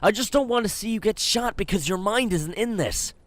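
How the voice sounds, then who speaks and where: A man's voice: high voice, lively intonation, fairly quick, no echo. A man playing a cocky young wasteland companion who talks earnestly and speaks his mind. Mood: concerned.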